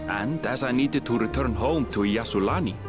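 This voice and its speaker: An actor playing a composed, observant and courteous traveller in a video game. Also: male voice, mid-range voice, moderate pace, music in the background, close to an everyday voice.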